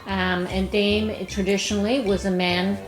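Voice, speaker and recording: A woman's voice: medium pitch, level tone, unhurried. A woman conducting a conversational interview as the interviewer. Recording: echoey room, faint music behind.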